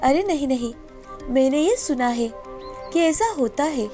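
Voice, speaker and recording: A woman voices a farmer's wife in a storybook tale, high-pitched and expressive. She comes across as caring and curious, with music playing behind her. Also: measured pace. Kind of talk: scripted moral story dialogue.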